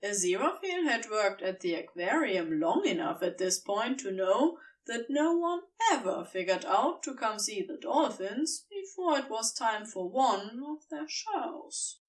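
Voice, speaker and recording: Slow, expressive woman narrating fiction. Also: a little high, distant mic, poor audio. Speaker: audiobook narrator.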